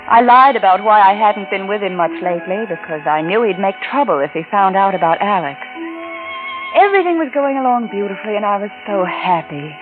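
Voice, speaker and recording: A vintage radio recording with music behind it. An actress plays a married woman with a secret love, confiding and romantic, with a brooding streak. Her delivery is polished 1940s radio drama, pitched in a middle register. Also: narrow, tinny audio, natural voice. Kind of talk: 1940s radio drama acting, first-person narration.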